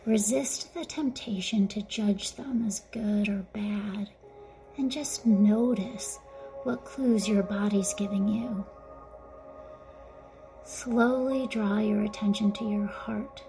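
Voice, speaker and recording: A woman guiding a mindfulness meditation in a gentle, soothing, softly spoken way, with breathy phrase endings. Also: mid-range voice, slow pace, faint music behind. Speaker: meditation guide. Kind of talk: guided mindfulness meditation narration.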